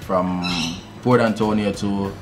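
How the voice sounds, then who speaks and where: A young Jamaican man talking casually in an interview, relaxed and rambling with many fillers, recorded on an outdoor patio. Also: low voice, a little slow, music in the background. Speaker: guest musician. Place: outdoor covered patio by a fence.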